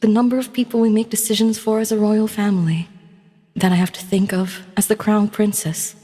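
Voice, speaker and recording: A gentle young crown princess, played by a woman, speaks with refined, formal courtly phrasing and a sense of burdened duty. Her medium-pitched voice rings with long reverb, as in a fantasy audio story. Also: level tone, fairly quick, lightly acted. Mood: wistful, troubled.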